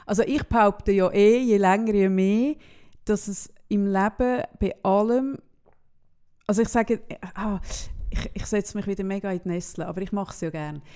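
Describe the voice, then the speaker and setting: A young adult woman with a Swiss German accent, co-hosting a podcast in blunt, animated casual chat. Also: mid-range voice, normal speed, clear, resonant tone. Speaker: podcast co-host.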